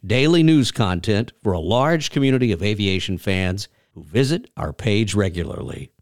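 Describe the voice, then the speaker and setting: A man's voice: on the low side, dry recording, clean studio sound. A man reading scripted promotional narration as a sponsor announcer.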